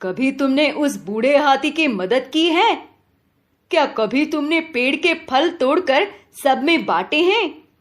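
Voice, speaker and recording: A woman voicing a stern but fair lion king in a children's moral story, speaking firmly and deliberately. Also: high voice, lively intonation. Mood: scolding. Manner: firm, admonishing, deliberate.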